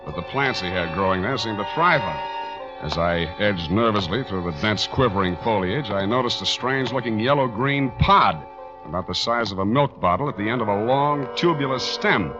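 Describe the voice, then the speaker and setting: An actor playing a sardonic, hardboiled private detective, wisecracking and narrating in 1940s radio style. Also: male voice, on the low side, lively intonation, normal speed, natural voice. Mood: wry, atmospheric narration. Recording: dull 1940s radio recording, music behind.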